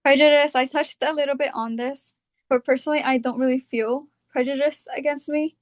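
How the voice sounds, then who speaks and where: A young woman from California with a California accent, talking about her own experience in a reflective, hesitant way, often pausing on fillers. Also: sits high, fairly even intonation. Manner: hesitant, thinking aloud with fillers. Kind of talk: reflective personal talk.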